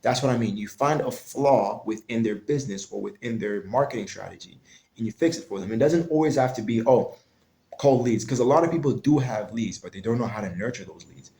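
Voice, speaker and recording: A man's voice: mid-range voice, a little fast, warm tone. A man explaining online sales tactics in a loose, conversational tutorial, often restarting his sentences. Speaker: online marketing coach. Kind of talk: casual tutorial talk. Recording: echoey room.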